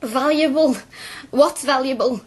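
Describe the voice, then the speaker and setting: A woman's voice: sits high, a little slow, breathy edge. An actress playing a spirited working-class woman of twenty-six in a radio drama, speaking colloquially and insistently. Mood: urgent, insistent. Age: young adult.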